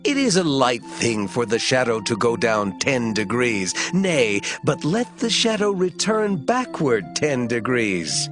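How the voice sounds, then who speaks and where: A man's voice: medium pitch, huge pitch swings, takes it slowly, hardly any pauses, music in the background. An actor playing a devout, ailing king of Judah in a dramatized Bible, speaking solemnly in archaic scripture. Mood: earnest pleading. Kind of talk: dramatized Bible audio acting.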